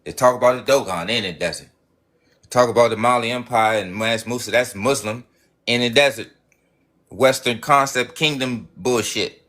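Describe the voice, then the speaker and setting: A man's voice: mid-range voice, normal speed, fairly bright tone. Profane, preachy man rambling on a stream. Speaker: livestream host. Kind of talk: rambling livestream monologue.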